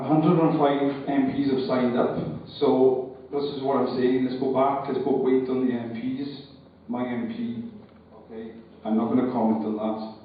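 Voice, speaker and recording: A man's voice: mid-range voice, a little hiss or hum. Man speaking far off in echoey hall. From Wales. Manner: hesitant, repeats words, cautious. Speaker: audience member speaking at a public meeting. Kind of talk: unscripted public speech. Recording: echoey hall, far from mic, dull sound.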